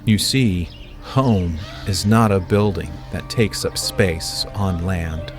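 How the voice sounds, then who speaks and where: Man playing a slow-spoken, calm wanderer. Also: low voice, soft background music. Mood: gentle. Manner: calm, measured. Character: calm, gentle wandering man with philosophical temper.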